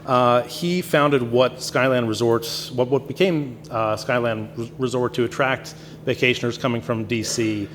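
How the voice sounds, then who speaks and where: A man's voice: mid-range voice, fairly even intonation, unhurried, a little hiss or hum. A man from Virginia's Shenandoah Valley giving a conference talk with a stuffy nose, speaking conversationally with many fillers and restarts. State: stuffy nose from sinus infection. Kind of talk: lecture presentation. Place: conference hall. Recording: long hall reverb, faint ambience.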